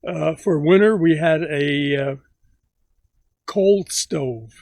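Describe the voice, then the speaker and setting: A man in his nineties recalling his childhood for a family interview, speaking slowly with halting pauses. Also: on the high side, gravelly, a little mellow, no echo. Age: 90s. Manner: slow, halting recollection with many pauses. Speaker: grandfather telling stories.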